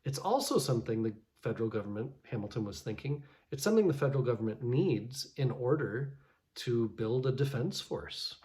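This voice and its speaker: A pastor talks through a morning reflection in a loose, conversational way, often restarting his sentences. His voice sits at an ordinary middle pitch for a man. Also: lively intonation, a little fast, darkish tone. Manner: conversational, many restarts and fillers. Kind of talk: casual devotional reflection.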